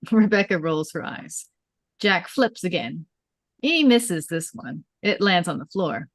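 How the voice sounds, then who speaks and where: A woman's voice: fairly low pitch, lively intonation, slow, no room sound. A woman narrating a script at a table read, reading out scene headings and stage directions.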